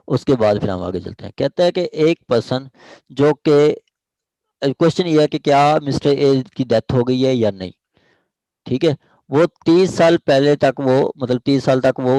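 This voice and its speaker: A man's voice: mid-range voice, warm tone, dry recording, low-quality recording. Male law lecturer, Pakistani accent, dry recording, step-by-step explanation, repeats points, checks understanding. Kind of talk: explanatory lecture, reading statute aloud and paraphrasing.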